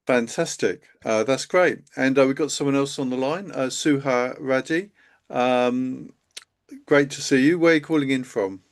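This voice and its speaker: A man hosting a live webinar over a video call, chatty and halting, with many fillers. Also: medium pitch. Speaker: live stream host, accountant. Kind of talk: casual live webinar talk.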